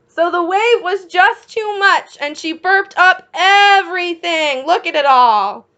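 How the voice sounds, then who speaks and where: A woman reading a picture book aloud as a children's teacher, encouraging young listeners with questions and pausing for their answers. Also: very high-pitched, pushed and bright, highs cut off.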